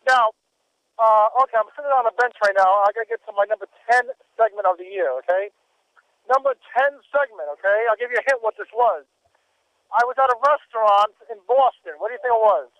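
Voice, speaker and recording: Excitable high-voiced man hosting radio, lo-fi sound. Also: fast talker, smooth, dry recording. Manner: excitable, repetitive, stammering. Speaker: radio show host. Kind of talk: casual on-location interviewing. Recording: noisy lo-fi street radio remote.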